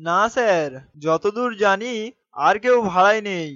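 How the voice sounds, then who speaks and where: A man's voice: high voice, a little slow, a bit bright, no echo, muffled recording. A man voicing a young, respectful, worried helper in a cartoon. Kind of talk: cartoon voice acting.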